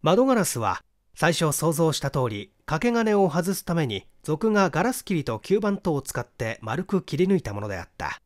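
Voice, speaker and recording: A man's voice: fairly high pitch, sing-song, moderate pace. A man reading a mystery novel aloud as scripted literary narration. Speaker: audiobook reader.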